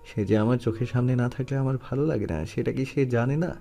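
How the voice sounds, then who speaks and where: Man as teasing possessive young suitor, mellow, gravelly, audio story, faint music. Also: mid-range voice, brisk, close to an everyday voice. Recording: music under the voice.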